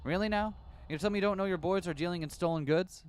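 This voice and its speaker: A man's voice: sits high, fast, smooth, voice pushed up, close to an everyday voice. A man voicing a cocky male reporter who mocks and goads people sarcastically. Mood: taunting. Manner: taunting, sarcastic.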